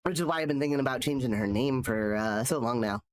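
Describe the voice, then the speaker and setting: A man's voice: mid-range voice, fast talker. A man live-streaming while drawing, chatting casually and rambling, restarting his sentences, sounding nasal from a cold. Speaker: streamer and artist.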